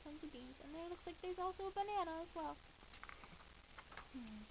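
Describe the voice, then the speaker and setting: A woman reading a children's bedtime story aloud in an expressive, animated way with dramatic emphasis. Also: extremely high pitch, moderate pace. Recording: faint hiss behind voice.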